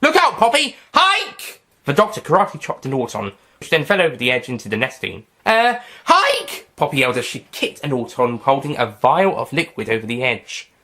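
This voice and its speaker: A man narrating a fan-written story aloud in a plain, steady reading voice. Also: medium pitch, fast talker, hardly any pauses. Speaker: narrator and writer.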